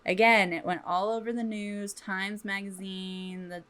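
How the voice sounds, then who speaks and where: A woman's voice: medium pitch, level tone, a little slow, a bit bright. A woman co-hosting a podcast, telling a true crime story from notes in an informal, slangy way with some swearing. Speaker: podcast co-host.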